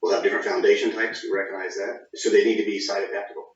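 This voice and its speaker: A man's voice: on the low side, level tone, racing. A man giving informal technical explanations as an engineer being interviewed, often saying "you know" and "sort of.". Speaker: government project engineer interviewee. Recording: roomy, distant, dull sound.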